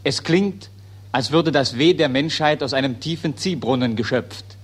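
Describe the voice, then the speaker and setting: An actor as the grave narrator of a radio play, reading stage directions in a measured, precise, literary way. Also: male voice, medium pitch, natural voice.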